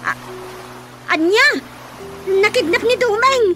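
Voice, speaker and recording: A very high, piercing voice of a frantic young Ilocano wife, acted by a woman in a radio play. She exclaims at every turn and jumps to alarm. Loud music plays under her lines. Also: expressive, heavily acted. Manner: shrill, exclaiming, quick to alarm. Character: anxious young wife and daughter. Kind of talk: radio drama acting.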